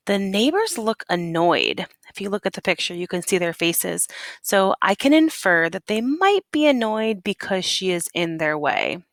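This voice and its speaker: A woman teacher reading a picture book aloud expressively, with deliberate emphasis on key words and questions for young pupils. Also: on the low side, a bit bright, no echo, studio quality.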